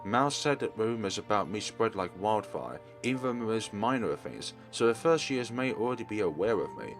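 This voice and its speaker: A man's voice: fairly low pitch, big pitch swings, normal speed, faint music behind. A man reading story narration aloud from a visual novel as a let's play host.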